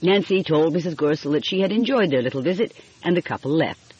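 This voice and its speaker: A woman with a husky voice reading a mystery story aloud as scripted audiobook narration. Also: sits low, expressive, fast talker, darkish tone, highs cut off.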